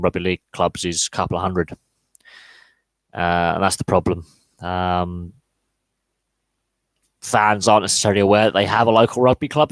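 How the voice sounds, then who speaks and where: Guy ranting on a live podcast, lowish voice, dead dry sound, lots of ums. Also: dry recording. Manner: opinionated, answers chat live, many fillers and repeated words. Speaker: podcast host.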